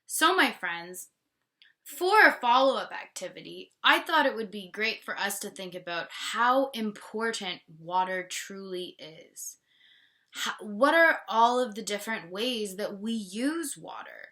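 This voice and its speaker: A woman's voice: medium pitch, animated, a little slow, bright, forceful tone. A woman from Canada reading a picture book aloud as a teacher and explaining it simply to children.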